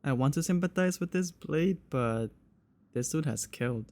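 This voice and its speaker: A man's voice: fairly high pitch, animated, normal speed, a little mellow. A young man giving casual, irreverent, sweary commentary on a game he is playing. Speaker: game streamer reacting to a story quest. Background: game music.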